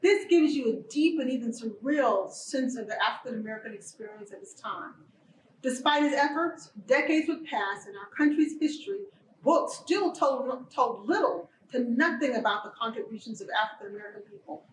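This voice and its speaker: A woman giving formal opening remarks for a college event, in a measured, earnest delivery. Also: fairly high pitch, expressive, roomy sound, recorded from a distance, a little hiss or hum, poor audio. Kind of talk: formal prepared speech.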